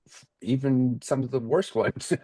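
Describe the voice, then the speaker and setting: A man speaking as a theater interview guest, thoughtful and halting as he searches for his words. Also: mid-range voice, steady tone, mellow, husky, no room sound. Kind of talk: casual interview talk.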